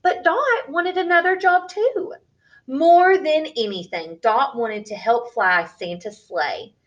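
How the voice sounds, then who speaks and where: A woman reading a picture book aloud for young children in an expressive, deliberate way, as a storyteller. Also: high-pitched, lo-fi sound.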